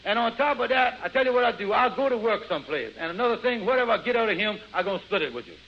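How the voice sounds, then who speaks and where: A high-voiced, scheming husband from a 1940s radio comedy, forever stammering and wheedling. His folksy lines come through a heavy layer of old broadcast noise. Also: lively intonation, rapid-fire. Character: scheming, flustered married man running a rest home. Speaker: lead comic actor. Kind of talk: 1940s radio sitcom acting. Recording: muffled, noisy old radio recording.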